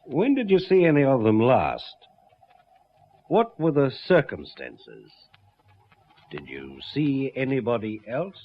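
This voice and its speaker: An actor playing a firm, brisk, authoritative Australian police detective in a radio drama. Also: male voice, medium pitch, sing-song. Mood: brisk questioning. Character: determined, hard-nosed Australian police detective. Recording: dull lo-fi radio recording.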